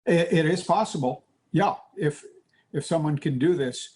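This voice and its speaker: An older man giving an expert news interview in hesitant, measured phrases. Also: on the high side, darkish tone, husky. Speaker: expert interviewee, retired pilot.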